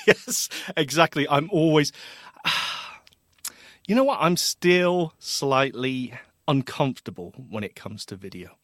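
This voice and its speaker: A man's voice: on the high side, expressive, takes it slowly, a bit bright, no echo, studio quality. A man co-hosting a tech podcast in casual chat, chatty and laughing often, drifting into tangents. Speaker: podcast co-host.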